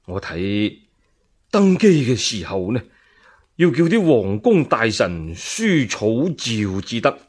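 A man voicing a cautious, scheming court minister in Cantonese, deliberate and formal, in a radio storytelling serial. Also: mid-range voice, big pitch swings, breathy edge, pitched below the performer's own voice, heavily acted. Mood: cautious, grave.

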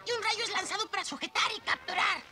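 Super high little cartoon wizard critter, latin american spanish, excitable show-off, music under it. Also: male voice, fast. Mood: flustered, boastful. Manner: excitable, exclamatory. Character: small excitable boastful bumbling magician creature. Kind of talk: animated series dubbing.

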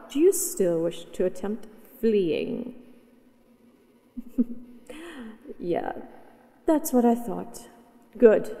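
A woman's voice: fairly high pitch, dark tone, long reverb tail. A woman voicing a possessive, haughty dragoness in a scripted roleplay audio, speaking with condescending, teasing command. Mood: mocking, threatening. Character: possessive, haughty, mighty female dragon captor.

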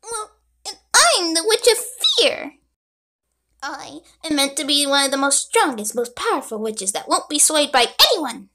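Very high-pitched, flustered little witch. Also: very animated, unhurried, sharp tone, full character voice. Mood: flustered, proud. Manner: flustered, indignant protesting. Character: bashful, short-tempered, proud young witch of fear. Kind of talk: fan dub voice acting.

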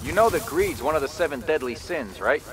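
An actor playing a nerdy, wary young soldier in a video game, wordy and sarcastic. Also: male voice, on the high side, animated, brisk, music in the background, lightly acted, low-quality recording. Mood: dry, sarcastic.

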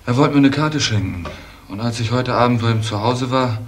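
An actor playing an earnest, stubborn young man who worries about his missing uncle in a German radio crime drama, speaking haltingly. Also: mid-range voice, natural voice. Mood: worried, subdued. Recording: clearly noisy old radio play recording.

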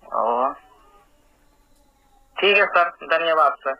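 A man's voice: a little high, animated, very slow, no echo. A man making a polite, deferential but persistent inquiry on a recorded phone call. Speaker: caller.

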